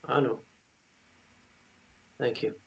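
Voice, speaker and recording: A man's voice: very slow, lo-fi sound. A man playing a somber, self-sacrificing man in a dramatic screenplay reading, terse and subdued. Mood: somber, resigned. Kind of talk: dramatic table read of a romance screenplay.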